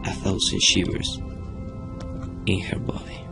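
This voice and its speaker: A man's voice: measured pace, in a whisper. A young man, a native Spanish speaker with a Chilean accent, narrating a scripted horror radio play in a dramatic, suspenseful way. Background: prominent music.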